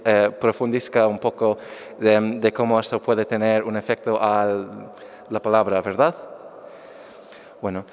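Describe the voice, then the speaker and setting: A man's voice: fairly low pitch, clean tone. Hesitant man lecturing in an echoey hall. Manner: hesitant, many fillers and restarts. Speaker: lecturer answering audience questions. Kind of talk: academic talk.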